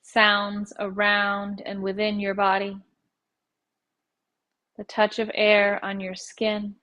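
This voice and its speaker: Calm lady leading guided meditation, pretty flat intonation, measured w pauses. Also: mid-range voice.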